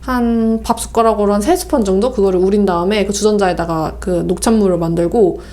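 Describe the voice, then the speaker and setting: A woman's voice: medium pitch, fairly even intonation, brisk, dark tone. A woman podcast host chatting casually and explaining things step by step. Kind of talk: casual chat, sharing tea recipes.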